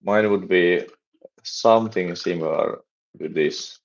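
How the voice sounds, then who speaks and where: A young man from Finland with a Finnish accent, chatting on a live stream and talking haltingly with many restarts and fillers. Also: sits low, dull audio. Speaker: livestreaming startup developer. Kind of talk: casual live stream chat.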